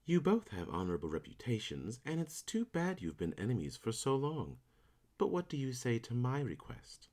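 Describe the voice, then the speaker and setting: Man acting a lively-toned noble suitor. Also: mid-range voice, normal speed. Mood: courteous, eager. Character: courteous young noble suitor, earnest, eager. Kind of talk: audio drama acting of modernized Shakespeare.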